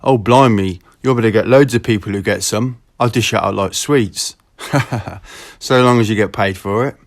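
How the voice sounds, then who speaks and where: A man's voice: on the low side, moderate pace. A man with a southeast England accent chatting casually in slangy, sweary language on a recorded telephone call. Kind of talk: casual phone chat.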